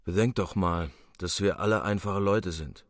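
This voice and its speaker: A man plays a veteran soldier in a radio drama. His voice is deep and dry, reasoning calmly and plainly with younger comrades. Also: no room sound, lightly acted. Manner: measured, thoughtful, matter-of-fact. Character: Kat, seasoned older soldier, calm, sensible, down-to-earth.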